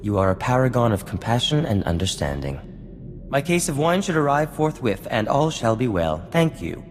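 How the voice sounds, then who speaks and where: Flamboyant, vain scientist played by a man, swooping pitch. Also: very animated, moderate pace, in a large hall, close to an everyday voice. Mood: wheedling, courtly. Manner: grandiose, florid, courtly phrasing. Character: flamboyant, vain, charming male scientist and self-styled genius. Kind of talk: scripted video game acting. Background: music.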